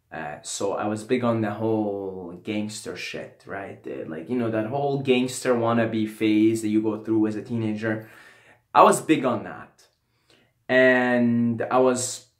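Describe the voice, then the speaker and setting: Rambling young man in an echoey room. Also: on the low side. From Syria. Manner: rambling, peppered with "right?" and "you know". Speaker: vlogger. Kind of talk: casual storytelling.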